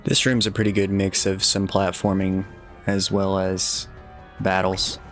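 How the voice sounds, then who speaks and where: A young man giving relaxed, instructive commentary over a game walkthrough, easygoing and a little self-deprecating. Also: low-pitched, measured pace, soft background music. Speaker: gameplay guide commentator.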